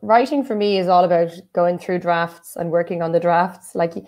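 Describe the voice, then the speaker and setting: Low-voiced Irish woman, thoughtful. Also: normal speed, a little mellow. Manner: thoughtful, with many "um" and "like" fillers. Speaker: guest, writer and translator. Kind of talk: casual conversation.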